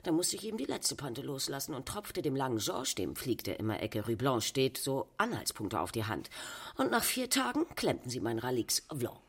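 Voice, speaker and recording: Husky, swooping, worldly courtesan. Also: female voice, very deep voice, sing-song, normal speed, hardly any pauses, full character voice. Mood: scornful recounting. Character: sardonic, worldly 1920s Parisian prostitute, languid and mocking. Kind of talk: radio play dialogue.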